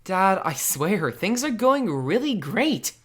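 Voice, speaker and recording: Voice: medium pitch, slow, husky, heavily acted. A performer playing a sarcastic, insecure teenage boy who narrates his would-be superhero life with wry self-mockery in a comic dub. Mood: evasive. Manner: wry, self-mocking, expressive. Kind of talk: first-person narration and comic dub acting. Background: music.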